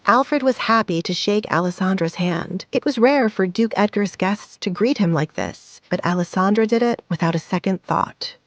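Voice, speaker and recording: A woman's voice: mid-range voice, normal speed, few pauses, dry recording, highs cut off. A woman narrating a scripted audio drama as a storyteller.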